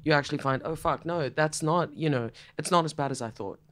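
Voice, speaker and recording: A woman's voice: low voice, a little fast. A middle-aged South African woman hosting a casual interview chat, speaking frankly, swearing now and then and repeating words as she thinks. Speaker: podcast host. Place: room in a coworking space.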